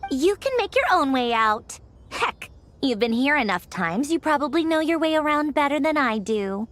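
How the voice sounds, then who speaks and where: A woman voicing an excitable, cheeky female adventurer companion in a fantasy game, with exclamatory delivery. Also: high voice, normal speed. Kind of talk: scripted game dialogue acting.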